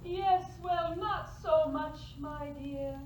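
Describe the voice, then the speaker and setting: Woman playing a very high-pitched sing-song motherly swan. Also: slow pace, a little mellow. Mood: gently coaxing. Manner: sing-song, coaxing delivery. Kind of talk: staged reading of a comic fantasy play. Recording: roomy, distant stage recording, faint noise.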